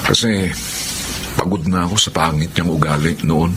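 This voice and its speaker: A man with a Filipino accent playing an amiable, long-suffering husband, chatty and expressive, in a radio drama. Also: low voice, normal speed, noisy background, lightly acted. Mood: weary.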